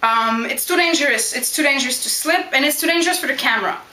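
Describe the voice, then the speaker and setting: Bright-voiced young woman, chatty. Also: high voice, fast talker, a little hiss or hum. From Montreal, Quebec, Canada. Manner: chatty, direct, keeps asking "you know what?". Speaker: backyard wrestler. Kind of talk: casual Q&A vlog. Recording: echoey room.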